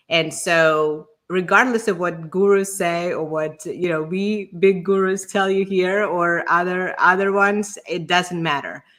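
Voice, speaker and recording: A woman's voice: fairly low pitch, a bit bright. A woman co-hosting a live stream, giving conversational startup advice as a founder. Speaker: show co-host and startup founder.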